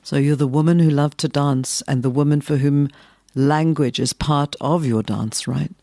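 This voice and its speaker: A woman's voice: deep voice, fairly even intonation, moderate pace, dark tone, no echo, studio quality. A woman hosting a podcast interview, asking curious questions and slipping Afrikaans words into her English.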